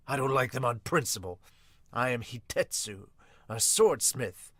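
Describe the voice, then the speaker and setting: A stern old tengu swordsmith, played by a man in a manga dub. The speech is formal and archaic, with an indignant, scolding edge. The recording is close and dry, at a middle pitch. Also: bright, forceful tone, dry recording. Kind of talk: manga read-aloud dub.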